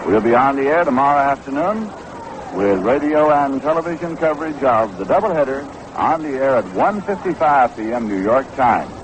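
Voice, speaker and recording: An American man calling baseball play-by-play in a measured, descriptive 1960s radio style. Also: mid-range voice, animated. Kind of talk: 1960s radio sports commentary. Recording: noisy vintage 1960s AM radio broadcast.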